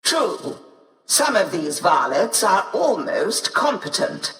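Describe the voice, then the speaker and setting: Haughty, sharp-voiced tech-priest. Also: female voice, mid-range voice, big pitch swings, takes it slowly. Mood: coolly disdainful. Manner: imperious, clipped, disdainful. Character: haughty, curt female tech-priest in sci-fi game. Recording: reverberant echoing hall.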